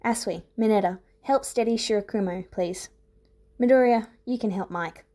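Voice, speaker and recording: A woman voicing a gruff, snappish male teacher hero of thirty in a story reading, terse and commanding. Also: mid-range voice, moderate pace, dark tone, natural voice. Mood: brisk, commanding. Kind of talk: dramatic fan fiction reading.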